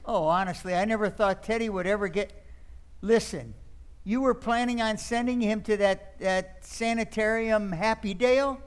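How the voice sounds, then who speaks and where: Man playing high-voiced excitable nephew. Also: normal speed, clean tone, lightly acted. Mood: flustered, incredulous. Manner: stammering when flustered. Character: sardonic drama critic nephew, excitable and incredulous. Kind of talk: old time radio acting.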